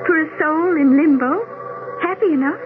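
A woman acting a gentle, affectionate dead wife's soul in a dreamy, measured 1970s radio drama style. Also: sits high, phone-quality sound, lightly acted. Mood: tender, wistful. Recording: thin old radio broadcast, music underneath.

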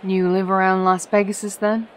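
A woman's voice: medium pitch, little pitch movement, normal speed, slight background noise, natural voice. A woman voicing a dry, guarded, protective older-sister pony in a fan audio drama. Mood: guarded, skeptical.

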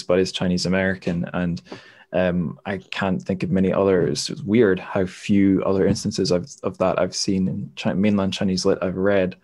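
Male podcast host, twenties, lowish voice, hesitant and reflective literary talk. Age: 20s. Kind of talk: literary discussion.

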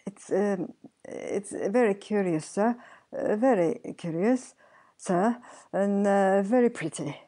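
Storybook gravedigger woman doing the part: timid, stammering, grovelling, mellow-toned man. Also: fairly low pitch, slow pace. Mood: nervous deference. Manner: stammering, hesitant, deferential. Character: frightened, grovelling male gravedigger, grim and nervous. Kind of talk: dramatic storybook reading.

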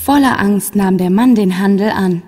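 A woman's voice: medium pitch, normal speed, mellow, soft background music. A woman storyteller reading a scripted fairy tale for children as narration.

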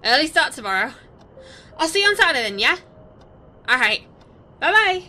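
A woman's voice: sits high, sing-song, moderate pace, bright, forceful tone, a little hiss or hum, full character voice. A woman with a British accent playing a sceptical, bewildered ordinary young woman in an audio drama, wry and colloquial. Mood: casual chat. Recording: music under the voice.

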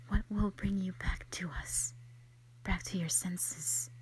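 A woman voicing a gentle, protective elder dragon in a slow, solemn, whispered audio roleplay monologue, full of sorrow. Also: lowish voice, lightly acted. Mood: fearful, grieving. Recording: music behind the voice.

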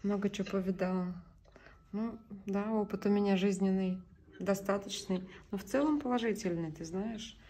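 A woman's voice: mid-range voice, moderate pace, dark tone. A middle-aged woman chatting casually and flirtatiously on a call, composed and playfully evasive, recorded on a phone at home. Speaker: social media video creator. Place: small indoor room at home. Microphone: phone at arm's length.

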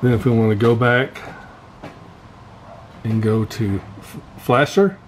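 A man giving a casual, unscripted hands-on gear walkthrough as a vlogger, pausing often mid-phrase. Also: mid-range voice. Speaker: vlogger showing fishing gear. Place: indoor garage workshop. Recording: steady background noise, room ambience.

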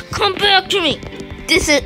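Woman gamer, high lively voice, easygoing pace, clear game music behind. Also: poor audio. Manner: excitable, chatty, with sudden high squeals. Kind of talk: casual gameplay commentary.

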